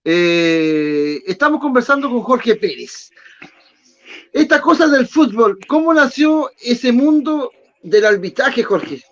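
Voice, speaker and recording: A man hosting a casual interview, chatty and quick with short acknowledgments. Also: very high voice, very animated, a bit bright. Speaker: radio show host. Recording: dull lo-fi sound.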